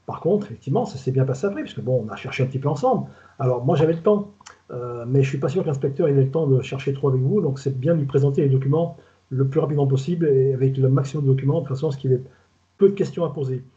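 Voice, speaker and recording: Older man, southern French accent, retired inspector type; rapid, rambling, colloquial delivery, mellow tone, lo-fi call audio. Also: medium pitch. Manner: fast, rambling, colloquial, stumbles and repeats words. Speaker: retired food safety inspector, guest expert. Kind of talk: casual webinar talk. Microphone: video call. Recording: dull lo-fi video call audio.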